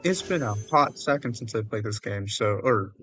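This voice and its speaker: A man's voice: mid-range voice, animated, normal speed, sharp tone. A man chats casually as a game streamer, with a mid-range voice that swings around a lot. He rambles and pokes fun at himself, with game music playing behind him. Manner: rambling, self-deprecating. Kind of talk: casual livestream gameplay commentary.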